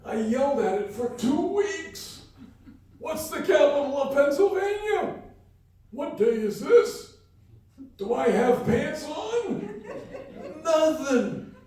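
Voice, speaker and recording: A man's voice: extremely high pitch, big pitch swings, slow pace, pauses often, a little mellow, exaggerated voice. A man playing a cranky, eccentric very old comedian, grumbling and hammy, in a live comic radio play. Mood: exasperated shouting. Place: live fundraiser event room before an audience. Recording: echoey live hall, distant mic.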